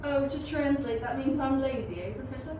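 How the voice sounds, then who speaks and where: Echoey high-pitched woman as a flippant student. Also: brisk, natural voice. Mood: wry. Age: young adult. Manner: wry, bantering. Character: flippant, teasing young male student, the scientist's friend. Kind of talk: amateur radio play acting. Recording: very echoey, distant, noisy.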